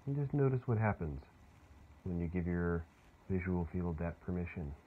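A man leading a guided meditation in a soothing, unhurried way, leaving long pauses. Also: low voice, animated. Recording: muffled phone-like sound with clear background noise.

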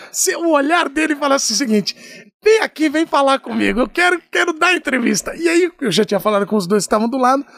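A man in his forties telling stories as a guest in a podcast studio, animated and laughing often. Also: high-pitched, gravelly, dry recording. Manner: lively, animated storyteller with bursts of laughter. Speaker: podcast guest, sports reporter. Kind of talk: casual chat, storytelling. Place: podcast studio, small room. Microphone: desk mic on a stand.

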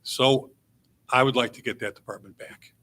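A man speaking as a mayoral candidate at a public forum, in a halting, folksy way with repeated words. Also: medium pitch, fairly even intonation, pushed and bright.

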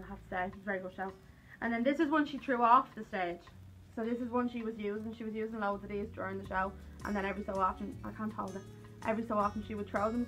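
A woman's voice: mid-range voice, a bit bright, low-quality recording. A young woman with an Irish accent chatting casually to camera in a rambling, self-deprecating way. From Ireland. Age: young adult. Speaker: vlogger. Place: small cluttered room at home, indoors.

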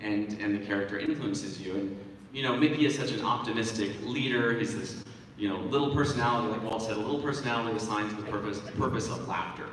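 Low-voiced man echoing from a distant stage. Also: fairly bright tone. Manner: earnest, reflective, halting. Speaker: voice actor, panel guest. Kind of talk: casual stage panel answers. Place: large convention hall stage, audience recording from afar. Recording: very echoey hall from far off, faint noise.

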